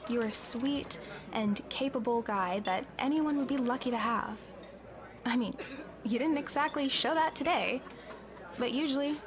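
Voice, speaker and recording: A woman's voice: on the high side, natural voice. A woman playing a young tavern keeper and confidante who talks bluntly but reassuringly, in a conversational roleplay drama. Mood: consoling.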